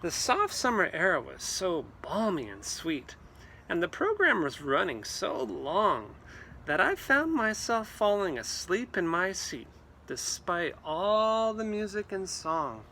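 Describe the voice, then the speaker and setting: A man reading a storybook aloud to children in an animated, theatrical way, with exclamations and asides. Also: high-pitched, slow pace, slight background noise. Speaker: read-aloud teacher.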